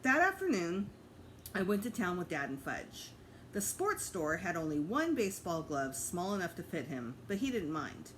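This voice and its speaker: Animated woman reading a children's story. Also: lowish voice, moderate pace, a little hiss or hum, poor audio. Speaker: teacher reading to students. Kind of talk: children's book read-aloud narration.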